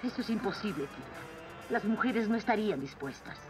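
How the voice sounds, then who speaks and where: A woman's voice: mid-range voice, lively intonation, brisk, close to an everyday voice. A woman playing a haughty, stern queen with an imperious manner in a Latin American Spanish cartoon dub. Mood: resistant. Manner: imperious, commanding. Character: haughty, stern adult queen of a city of women. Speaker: voice actor in a dubbed cartoon. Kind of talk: dramatic cartoon dub acting. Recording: dubbed cartoon with music underneath.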